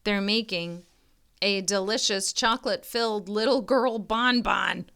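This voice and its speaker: A woman reading a children's picture book aloud in an expressive, teacherly way, with chatty asides to her listeners. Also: medium pitch, unhurried, creaky, clean tone.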